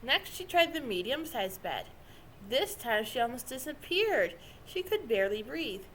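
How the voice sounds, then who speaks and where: Woman, high pitch, very animated children's story narration, dramatic phrasing, noisy recording. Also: measured pace. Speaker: storybook reader.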